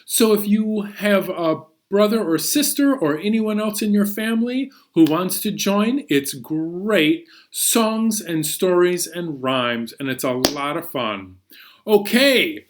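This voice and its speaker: A man's voice: high voice, lively intonation, takes it slowly. An American man hosting a story time for young children, playful and exclaiming as he introduces songs and stories.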